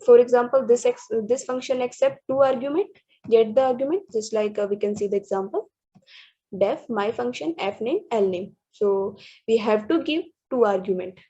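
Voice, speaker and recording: Halting woman with a husky voice. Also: a little high, mellow. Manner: halting, restarts words, many fillers. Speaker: student presenter. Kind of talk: reading through programming slides. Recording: dull lo-fi recording.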